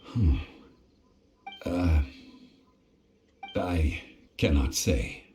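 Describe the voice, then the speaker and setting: Deep, solemn ancient god. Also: male voice, lively intonation, dark tone, a little hiss or hum, heavily acted. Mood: grave, regretful. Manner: measured, formal, grave. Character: ancient stone god in human guise, solemn, dignified, composed. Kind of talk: anime game voice acting, solemn storytelling.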